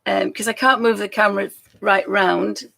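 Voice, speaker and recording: A woman hosting a live stream, chatting casually and rambling with a croaky voice, often laughing and trailing off. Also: mid-range voice, steady tone, moderate pace, a bit bright.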